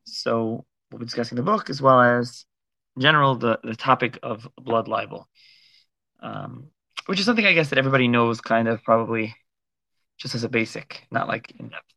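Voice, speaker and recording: A man hosting a casual live interview podcast, speaking in an informal, halting and loosely phrased way. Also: mid-range voice, gravelly, sharp tone, no room sound, rough recording. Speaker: podcast host.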